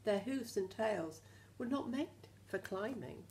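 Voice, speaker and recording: A woman reading a children's story expressively as a teacher, pausing to talk to the children and ask them questions. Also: mid-range voice, slight background noise. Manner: animated, questioning, addresses children directly.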